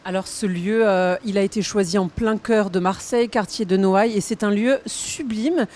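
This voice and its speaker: A woman's voice: medium pitch, moderate pace, darkish tone. A woman hosting a TV food show, upbeat and full of praise as she presents. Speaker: TV show host.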